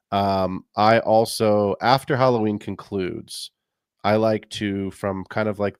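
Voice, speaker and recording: A man's voice: deep voice, clean tone, no echo, clean studio sound. A man hosting a fantasy football podcast, talking in a chatty, rambling and friendly way. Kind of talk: casual podcast talk.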